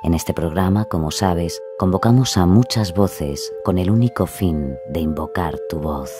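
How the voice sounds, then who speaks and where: A man with a very deep voice narrates a scripted podcast. He speaks to the listener in a measured, intimate and dramatic way, with music clearly playing behind him.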